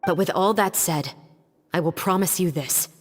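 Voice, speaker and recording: An actress voicing a composed, principled young female law officer in a game cutscene, speaking in an earnest, deliberate, formal way. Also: on the low side, natural voice. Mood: grave, resolute. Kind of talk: dramatic video game cutscene acting. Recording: long reverb, music underneath.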